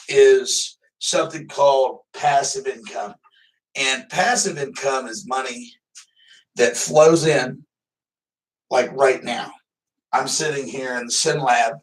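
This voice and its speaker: A man's voice: mid-range voice, far from the mic, low-quality recording. A man hosting a live stream, chatting casually and rambling loosely, swearing now and then. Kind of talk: casual livestream chat.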